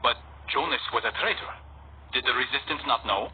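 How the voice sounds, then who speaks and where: A man acting as a stoic, guarded young assassin in a video game, speaking in a measured, serious, terse way. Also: mid-range voice, lightly acted. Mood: grave, resolute. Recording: tinny phone-like band, clear noise.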